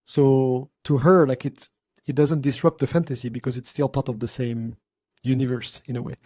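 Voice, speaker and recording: A man's voice: medium pitch, fairly even intonation, normal speed, mellow, no echo. A man hosting a casual podcast chat, speaking thoughtfully and searching for his words. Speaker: podcast host.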